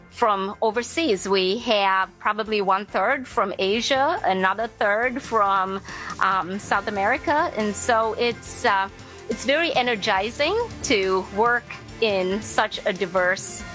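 Measured middle-aged businesswoman from Sri Lanka. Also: medium pitch, fairly bright tone, faint music behind. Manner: measured, businesslike, with frequent ums. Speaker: finance executive guest. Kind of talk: interview answers.

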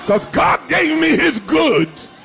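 A man's voice: very high voice, big pitch swings, slow, gravelly, fairly bright tone, soft background music. A man preaching a fiery sermon, exhorting his congregation with commands and repeated refrains. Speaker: pastor. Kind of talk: shouted call-and-response sermon.

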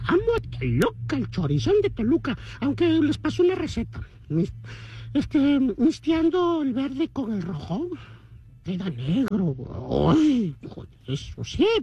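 High, husky-voiced Mexican man, wildly animated. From Tijuana, Mexico. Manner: playful, boastful. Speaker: caller. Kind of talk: playful rambling voice message.

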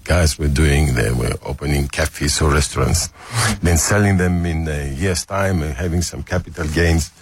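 An older man with a Greek accent, a restaurateur talking haltingly about his career in an interview. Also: extremely low pitch, slightly rough, dry recording. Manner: halting, restarts, self-deprecating. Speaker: restaurateur guest. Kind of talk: casual interview.

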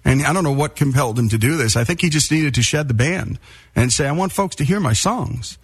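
A man's voice: mid-range voice, lively intonation, fairly quick, husky. A man from northern New Jersey with a New Jersey accent, hosting a radio interview show and talking up his guest with enthusiasm. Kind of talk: radio interview with scripted intro.

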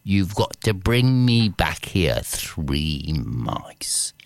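A man doing a gruff old cat king. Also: sits low, big pitch swings, very slow, no room sound, full character voice. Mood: commanding. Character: wily old man turned king of cats.

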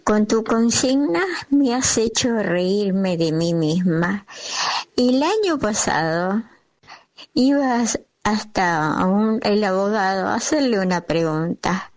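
A woman calls into a radio show to share a story from her life. She talks slowly and chattily. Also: mid-range voice, expressive. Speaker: caller. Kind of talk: radio call-in.

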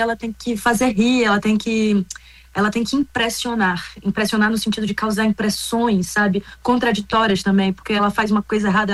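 Thoughtful actress from Bahia, dry sound. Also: female voice, medium pitch, no echo. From Bahia, Brazil. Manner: thoughtful, with many restarts and small laughs. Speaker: actress, interview guest. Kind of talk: reflective interview answers.

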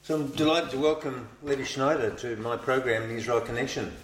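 A man's voice: medium pitch, level tone, brisk. A male show host asking a guest questions in a chatty, conversational way. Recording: echoey room with faint noise.

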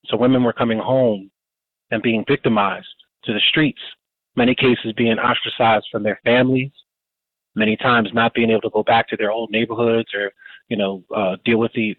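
A man's voice: mid-range voice, no echo. Male radio host, 50s, rambling casual delivery, tinny dry phone-line sound. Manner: rambling, frequent fillers and restarts. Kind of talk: casual community radio talk. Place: Baltimore, Maryland. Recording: dry, phone-like narrow band.

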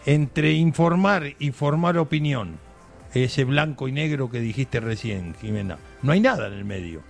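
A man's voice: medium pitch, lively intonation, moderate pace, gravelly, soft background music. A man, co-hosting with playful, chatty remarks. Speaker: co-host. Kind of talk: casual talk-show chat.

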